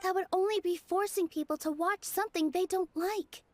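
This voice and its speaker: Very high-voiced earnest young dancer. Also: female voice, steady tone, brisk. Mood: earnest argument. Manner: earnest, articulate, firm. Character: earnest young female theater dancer defending the arts. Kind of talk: video game voice acting.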